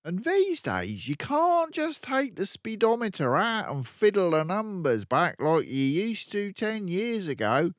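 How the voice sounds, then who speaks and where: An older storyteller acts out a crooked car-dealer dad from a children's storybook, a man who brags loudly and sneers. His pitch is pushed up and swings wildly, in a roomy, echoing recording. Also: huge pitch swings, unhurried, hardly any pauses, dull audio, heavily acted. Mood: cocky explaining. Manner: loud bragging, sneering, colloquial. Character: boastful, crooked, rude car-dealer father, middle-aged.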